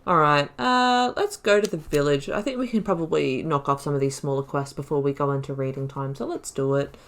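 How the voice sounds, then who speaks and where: Low-pitched woman chatting over a game. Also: brisk. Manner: reflective, rambling. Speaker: gaming video host. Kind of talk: casual playthrough commentary.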